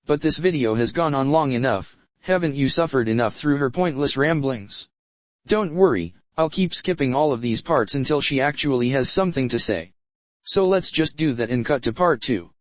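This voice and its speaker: A man's voice: medium pitch, lively intonation, moderate pace. A man hosting a commentary channel, mocking and insulting the person in the clips he plays back. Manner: taunting, insulting, scornful.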